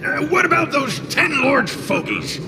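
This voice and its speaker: A man voicing a brash, protective spirit creature in a game cutscene, gravelly, blustering and scolding. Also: extremely high pitch, very animated, a little slow, exaggerated voice. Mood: insistent. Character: gruff, brash spirit tail, hot-tempered but protective. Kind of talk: dramatic game cutscene voice acting. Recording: loud background music. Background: loud music.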